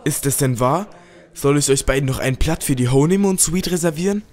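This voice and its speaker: A man's voice: fairly high pitch, brisk, darkish tone, close to an everyday voice. Man playing a snide, jealous young aristocrat. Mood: jealous, scornful. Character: jealous, menacing young male aristocrat, possessive and scornful. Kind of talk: German amateur audio drama dialogue.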